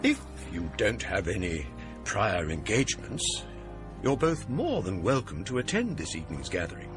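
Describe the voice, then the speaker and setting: An actor playing a genial, scholarly college provost who tells ghost stories, speaking in a courteous, measured way. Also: male voice, lowish voice, very animated, music in the background. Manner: courteous, measured, theatrical storyteller. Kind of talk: radio drama acting.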